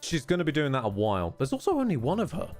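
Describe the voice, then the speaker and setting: A male live streamer with an animated, swinging pitch talks casually through a game. He grumbles wryly, swears freely and often breaks off his own sentences, with music playing faintly behind him. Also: racing. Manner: sweary, self-interrupting, wry grumbling.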